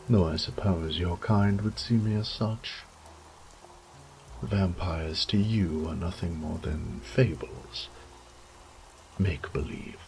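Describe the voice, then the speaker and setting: A man playing a sadistic, condescending vampire lord in a roleplay audio drama, theatrical and taunting, with breathy whispered phrases. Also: low-pitched, a little hiss or hum, heavily acted, poor audio.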